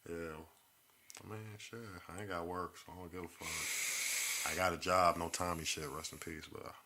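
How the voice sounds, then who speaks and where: A man's voice: low-pitched, flat delivery, normal speed, noisy background. A man co-hosting a casual podcast, talking in profane slang and teasing the others. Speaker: podcast co-host.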